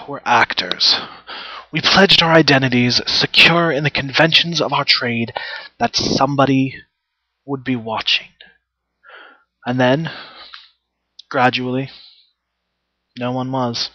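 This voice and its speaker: Casting: male actor as proud, wounded travelling player, dramatic stage monologue, sharp-edged mid-pitched voice, long pauses; dull, muffled headset recording. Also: fairly even intonation, dry recording. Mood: indignant. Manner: theatrical, emphatic, deliberate, with long pauses.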